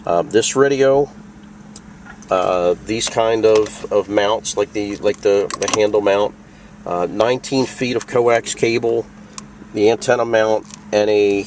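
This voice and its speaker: A man's voice: fairly low pitch, a little hiss or hum. A man presenting a product tutorial in a casual, plain-spoken, conversational way, walking through things step by step. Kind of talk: casual instructional walkthrough.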